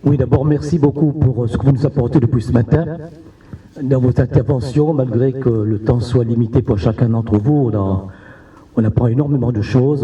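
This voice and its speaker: A man's voice: mid-range voice, warm tone. A man from Réunion in a conference audience, asking a long, reflective question and repeating his rhetorical questions. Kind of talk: long earnest question from the floor. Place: conference hall. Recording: distant roomy hall sound, dull audio.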